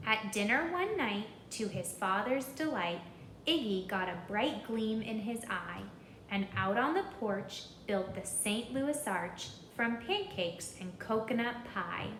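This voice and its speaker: Slow, lively woman reading a storybook. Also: medium pitch, reverberant, slight background noise, poor audio. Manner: expressive, deliberate, rhythmic. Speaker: storybook reader. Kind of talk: children's read-aloud with questions to listeners.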